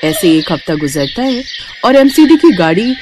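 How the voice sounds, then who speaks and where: A woman's voice: medium pitch, sing-song, normal speed, darkish tone, slight background noise. A woman reading scripted story narration as a storyteller.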